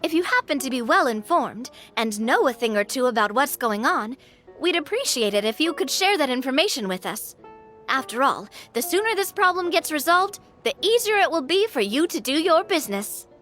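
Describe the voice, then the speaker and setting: A woman's voice: high-pitched, very animated, moderate pace, a bit bright, soft background music. An actress playing an earnest, cheeky young female adventurer in a video game. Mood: earnest, curious. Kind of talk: video game character acting.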